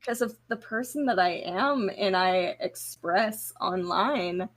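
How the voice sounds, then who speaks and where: A woman's voice: mid-range voice, slow, slight background noise. A woman co-hosting a podcast in casual, informal fan chat. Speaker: podcast co-host.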